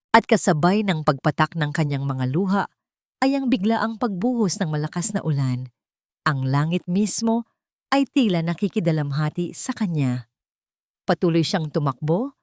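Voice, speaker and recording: A woman's voice: fairly low pitch, darkish tone, dry recording, studio quality. A woman reading a scripted love story as a dramatic narrator.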